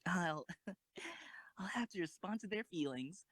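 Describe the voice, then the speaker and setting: A woman's voice: on the low side, lively intonation, measured pace, dry recording. A woman playing a modest, reluctant teenage girl who commands a tank in an amateur audio drama. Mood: reflective.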